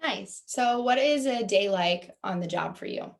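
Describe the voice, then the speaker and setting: A woman's voice: medium pitch, expressive, unhurried, gravelly. A woman hosting a casual interview and putting questions to a guest. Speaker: interviewer, host.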